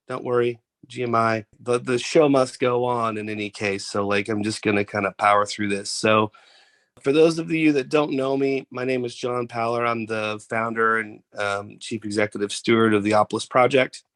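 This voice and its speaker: A man's voice: mid-range voice, steady tone, moderate pace, a bit bright. Congested male founder, off-camera call presenter, chatty and loose with frequent restarts. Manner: chatty, rambling, with many fillers and restarts. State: congested head cold. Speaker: founder and chief executive presenting to members. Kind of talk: casual online presentation. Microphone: off camera, video call mic.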